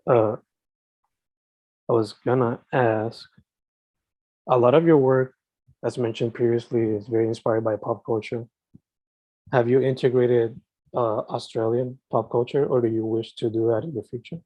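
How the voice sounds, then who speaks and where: A man hosts a podcast interview in a mellow, warm voice, starting his questions with a hesitant uh. His sound is dry and close, with no room echo. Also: mid-range voice, steady tone, moderate pace, pauses often. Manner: brief affirmations, hesitant uhs before questions. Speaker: podcast host.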